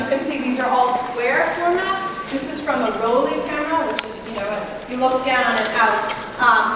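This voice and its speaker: A woman's voice: on the high side, noisy background. A woman in her thirties giving a guided tour of a gallery as its curator, chatty, with many ums and restarts. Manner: chatty, many ums and restarts, walking and gesturing while talking. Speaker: museum curator. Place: large gallery room, indoors. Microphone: handheld mic through a loudspeaker, recorded by a far camera. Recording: echoey gallery, speaker far off.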